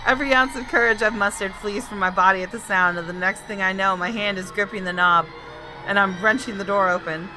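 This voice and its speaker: A woman's voice: medium pitch, fairly quick, poor audio. A woman doing a video game let's play, reading narration aloud dramatically and reacting with jumpy exclamations. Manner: dramatic, expressive, jumpy exclamations between read lines. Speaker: gaming YouTuber. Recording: background music under voice. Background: game music.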